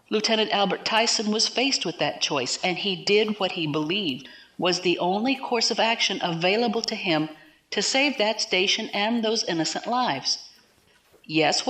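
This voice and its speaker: An actress playing an earnest military defence counsel in a science fiction audio drama, with a formal, measured, persuasive delivery. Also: female voice, mid-range voice, a bit bright, close to an everyday voice.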